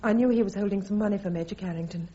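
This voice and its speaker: An actress playing a loyal, forthright British army captain, speaking in a clipped, earnest way in a radio drama. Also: female voice, mid-range voice, racing, mellow, lightly acted. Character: loyal, forthright young female army captain giving testimony. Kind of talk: radio courtroom drama acting. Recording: slightly dull radio drama recording.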